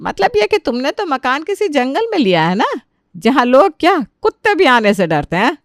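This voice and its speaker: Casting: female narrator as plain-spoken cartoon mother-in-law, wry and obliging, highish, dry sound. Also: sing-song, normal speed, no room sound. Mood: sarcastic. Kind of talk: Hindi cartoon story character voice.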